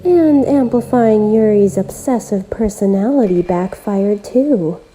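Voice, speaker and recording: A woman voicing a lonely, obsessive, self-aware visual novel game girl who speaks gently and intimately, straight to the listener, in an amateur voice-acted monologue. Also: medium pitch, expressive, a little hiss or hum, natural voice, low-quality recording. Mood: bitter, pleading. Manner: gentle, deliberate, intimate direct address.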